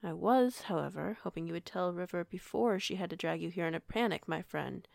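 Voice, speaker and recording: Woman acting a creaky-voiced, composed werewolf alpha. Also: on the low side, darkish tone, no room sound, studio quality. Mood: wry, composed. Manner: formal, measured wording, reassuring. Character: composed, courteous female werewolf pack alpha, gently authoritative. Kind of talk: audio roleplay acting.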